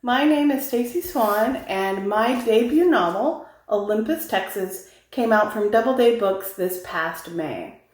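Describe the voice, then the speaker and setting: A woman's voice: mid-range voice, a little mellow. A woman talking casually as a novelist, explaining her own book in a roomy recording. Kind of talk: casual talk to camera about own book. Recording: roomy, echoing home-style recording.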